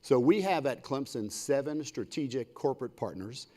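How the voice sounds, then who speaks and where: An American man addresses a forum as a university leader introducing a fellow panelist. His medium-pitched voice delivers short, punchy phrases with enthusiasm, in a semi-formal public speaking style. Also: animated, moderate pace, mellow.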